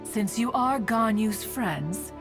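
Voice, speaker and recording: A woman's voice: on the high side, animated, takes it slowly. A woman voicing an ancient, dignified adeptus spirit in a fantasy game, a motherly guardian with formal, archaic speech. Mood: fondly reminiscing. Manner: formal, archaic speech, calling herself "one". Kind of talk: fantasy video game voice acting. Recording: clean game dialogue with music behind.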